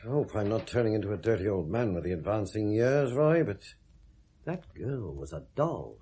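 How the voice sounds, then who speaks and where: A man's voice: fairly low pitch, very animated, moderate pace, dark tone. A middle-aged man with a British accent acting a sceptical, worldly police detective in a 1970s radio drama, musing and questioning drily. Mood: puzzled, musing. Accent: British English.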